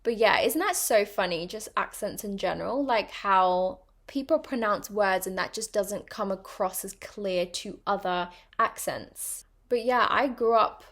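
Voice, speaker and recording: A woman's voice: medium pitch, unhurried, hardly any pauses, fairly bright tone. Young woman w a bit of a british accent just rambling about herself on her podcast, lots of ums and likes. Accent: mixed British and international. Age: young adult. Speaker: podcast host. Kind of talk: casual personal monologue.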